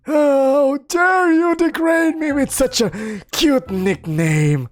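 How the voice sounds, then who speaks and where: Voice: mid-range voice, very animated, measured pace, soft background music, pitched above the performer's own voice. A storyteller voicing a tiny, young demon snake who is melodramatic, grandiose and easily offended. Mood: indignant.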